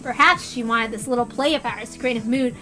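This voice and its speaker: Excitable girl reading a story out loud, really bright high voice, music behind. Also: brisk. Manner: giggly, excitable, breaks character laughing. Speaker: reader doing a let's-read of a visual novel. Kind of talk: lively dramatic read-aloud with reactions.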